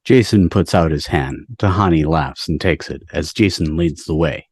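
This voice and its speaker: A man's voice: a bass voice, moderate pace, darkish tone, husky, no room sound, clean studio sound. A man giving scripted narration of screenplay stage directions on a video call.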